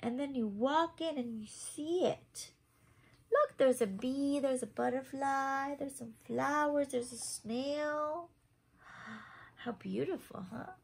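A woman's voice: sits high, slow pace, creaky, rough recording. A woman in her thirties reading a picture book aloud to children, adding simple questioning asides. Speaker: children's story time reader. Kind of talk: expressive picture-book read-aloud with asides to young listeners. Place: small home room, indoors.

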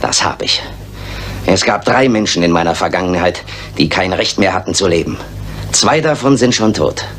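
An actor playing a vengeful, theatrical murderer in a German radio crime drama, measured, formal and menacing. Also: male voice, low-pitched, fairly bright tone. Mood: cold menace. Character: vengeful, theatrical male murderer, coldly courteous. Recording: older radio play with background noise.

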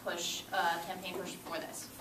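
A woman's voice: on the low side, level tone, a little slow, crowd noise. A young woman in her twenties, a student group co-president, giving a chatty, upbeat, informal talk to students in a lecture room. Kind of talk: casual presentation. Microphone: far camera. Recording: echoey room, far camera, clear room noise.

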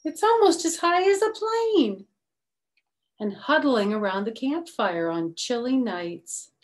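A middle-aged woman reads a children's picture book aloud slowly and expressively, adding chatty, simple explanations for young children. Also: high voice, expressive. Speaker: storytime reader. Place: small room at home, indoors.

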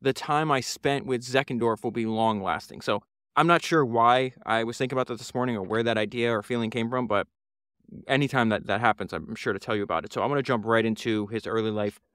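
A man's voice: mid-range voice, a little fast, fairly bright tone, smooth, no echo, studio quality. A man, hosting a solo podcast and talking through a book in an earnest, rambling way with many fillers and restarts. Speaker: podcast host.

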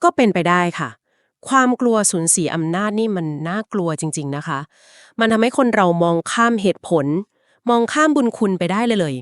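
A woman co-hosting a podcast, retelling an old legend conversationally and explaining it at length, with polite particles and short filler pauses. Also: mid-range voice, animated, no echo, clean studio sound. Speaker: podcast co-host. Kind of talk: conversational storytelling of a folk legend.

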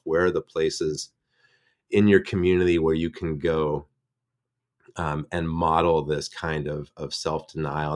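Deep-voiced middle-aged man, reflective. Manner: reflective, thinking aloud in long sentences. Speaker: seminary professor. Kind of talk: casual theological discussion. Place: home office. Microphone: video call.

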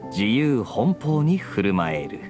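Suave young knight, video game, deep-leaning, unhurried, teasing, wry. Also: male voice, big pitch swings. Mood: musing, relaxed. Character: suave, teasing young man, a laid-back adventurer knight. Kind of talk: Japanese video game voice acting. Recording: studio voice over guitar game music.

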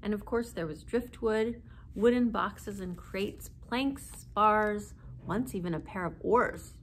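A woman's voice: mid-range voice, slow pace. Woman reading a children's story, noisy room. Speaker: librarian reading aloud. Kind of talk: expressive children's story narration. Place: indoor room, seated.

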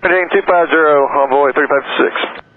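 Male airline pilot, rushed radio calls, halting; thin phone-like radio with static. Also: on the high side. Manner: hurried, halting with fillers. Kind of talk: radio calls to air traffic control. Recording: crackly radio transmission with static.

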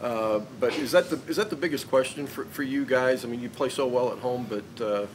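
A male reporter asks questions at a press conference in a casual, halting way, with restarts and fillers. Clear room noise hangs around his voice, and his pitch sits in the middle range.

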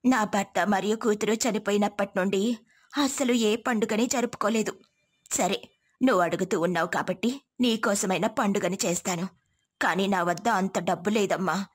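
A woman's voice: mid-range voice, breathy edge, lightly acted. A woman voicing a kindly, poor, bereaved foster mother in a caring, plain-spoken manner for a story.

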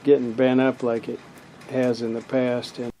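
A middle-aged man casually explaining a simple welding job, talking slowly with many restarts. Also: mid-range voice, level tone, warm tone, rough recording. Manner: slow, rambling, full of restarts and fillers. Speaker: hobby welder. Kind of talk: casual how-to demonstration. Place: outdoors in a parking lot and garage driveway. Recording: outdoor street noise behind.

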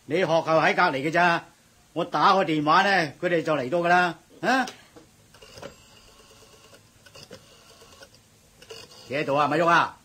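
An actor playing a loud, bossy middle-aged supermarket manager with a husky voice in a radio drama. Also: male voice, fairly high pitch, fairly even intonation, brisk, a little hiss or hum. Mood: officious. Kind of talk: 1980s radio drama acting.

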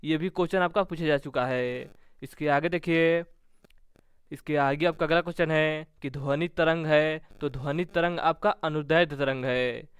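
Male coaching teacher, slightly high pitch, dry close sound, methodical question-and-answer revision, repetitive delivery. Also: clear, resonant tone, no room sound.

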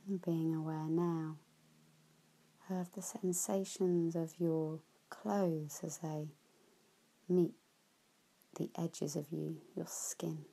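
A woman guides a deep relaxation practice in a low, mellow voice. She speaks very slowly and gently, letting many phrases fade into a breathy whisper. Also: level tone. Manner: soft, slow, with whispered phrase endings. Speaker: yoga nidra teacher.

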